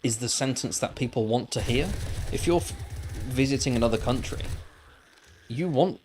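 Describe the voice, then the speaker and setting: A man's voice: mid-range voice, moderate pace, a little mellow, slight background noise. Man musing aloud while gaming. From Wales. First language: Welsh. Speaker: gaming livestreamer. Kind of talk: casual chat while playing.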